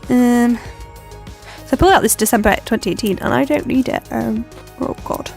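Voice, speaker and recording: A woman's voice: a little high, normal speed, vocal fry, darkish tone, faint music behind. A young woman with a stuffy cold, chatting and rambling with lots of ums as she decorates her planner. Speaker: planner vlogger. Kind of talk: chatty plan-with-me narration.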